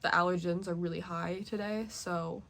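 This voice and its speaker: A woman's voice: fairly low pitch, fairly even intonation, moderate pace, gravelly, a bit bright. A young woman chatting casually as a book vlogger, nasally congested and rambling with many fillers. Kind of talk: casual chat.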